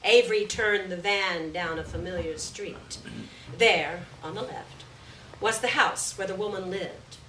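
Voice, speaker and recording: A woman reading a literary short story aloud in a measured, deliberate way, with pauses between phrases. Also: lowish voice, pushed and bright. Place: roomy venue at a live reading. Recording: echoey room, distant mic, background noise.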